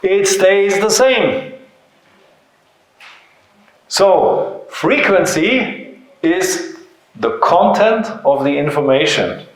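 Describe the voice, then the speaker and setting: Male seminar presenter, native German speaker with German accent, slightly high pitch, didactic and informal, heavily reverberant room. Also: expressive, extremely slow, long pauses. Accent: German-speaking European. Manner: didactic, uses rhetorical questions and short emphatic phrases. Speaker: seminar presenter, company founder. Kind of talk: informal seminar talk to an audience.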